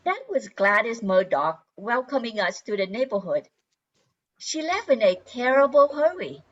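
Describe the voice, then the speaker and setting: A woman's voice: a little high, big pitch swings, normal speed, a little hiss or hum. A woman playing a sweet, sly suburban wife in an amateur radio comedy. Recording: dull lo-fi audio.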